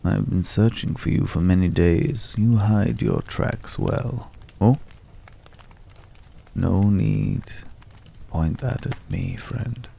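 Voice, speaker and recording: Very gravelly, deep, slow-spoken courteous ex-hunter, acted by a man. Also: animated, exaggerated voice. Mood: smooth, cautious greeting. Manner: measured, hushed, hesitant pauses, suavely polite. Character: courteous, world-weary former vampire hunter in a dark forest. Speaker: ASMR roleplay voice performer. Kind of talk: slow, intimate ASMR roleplay acting.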